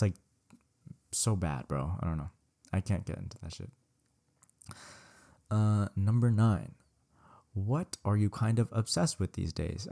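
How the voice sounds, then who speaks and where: A man's voice: on the low side, mellow. A young man from California, chatting casually on his own show, rambling and correcting himself, swearing a lot and saying "bro" over and over. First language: Vietnamese. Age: young adult. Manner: slangy and profane, says "bro" a lot. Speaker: solo podcast host. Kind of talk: casual solo Q&A chat.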